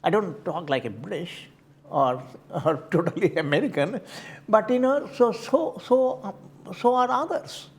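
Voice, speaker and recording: An older man with an Indian accent, answering interview questions in a halting way, often repeating words as he searches for them. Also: high voice. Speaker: community board candidate.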